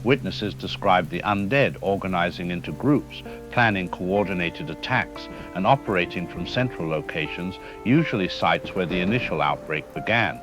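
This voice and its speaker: A man playing a grave academic historian in a radio drama, speaking in a formal, measured, lecturing way. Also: sits low. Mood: grave, informative. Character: grave male academic historian expert on vampire folklore. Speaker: expert interview guest.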